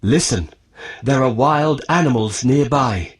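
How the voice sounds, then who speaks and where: A man's voice: mid-range voice, expressive, measured pace, close to an everyday voice. A man voicing an earnest, determined young prince in a children's storybook, with careful, declarative enunciation. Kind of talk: scripted children's storybook acting.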